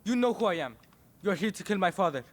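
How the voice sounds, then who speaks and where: A man's voice: high voice, expressive, fast talker. An actor playing an anxious, defiant teenage boy, a dictator's son in a video game, earnest and urgent. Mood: wary.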